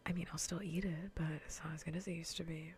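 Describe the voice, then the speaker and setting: A woman livestreamer chatting softly in a breathy, mostly whispered ASMR voice close to the mic, rambling playfully and repeating her phrases. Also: on the low side, fairly even intonation, fast talker. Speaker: livestreamer hosting an ASMR gaming stream. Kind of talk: soft ASMR casual chat to viewers. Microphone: close ASMR microphone.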